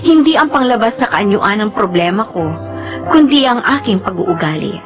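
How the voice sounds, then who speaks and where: A woman's voice: medium pitch, animated, moderate pace, music in the background, close to an everyday voice. A woman playing a repentant young sister in a Filipino radio drama, with a Filipino accent. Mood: reflective. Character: repentant young sister striving to become selfless.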